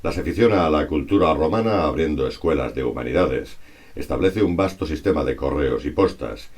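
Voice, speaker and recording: A man from reads a scripted historical narration in a formal, measured, bookish manner. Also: extremely low pitch, expressive, darkish tone, breathy edge.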